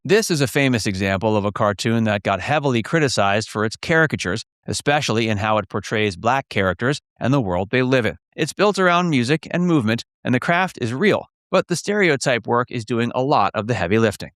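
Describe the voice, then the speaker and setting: Even-voiced male narrator, dry close sound. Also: medium pitch, a little fast, hardly any pauses, dry recording. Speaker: narrator of a list video. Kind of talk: scripted documentary-style narration.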